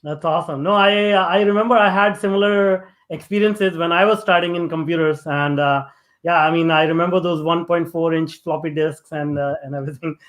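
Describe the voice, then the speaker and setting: Man, high voice, podcast host, formal intro reading, casual questions. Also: fairly even intonation, brisk, fairly bright tone. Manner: reads a long formal introduction, then asks questions casually with fillers. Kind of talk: reading a guest introduction, then interview chat.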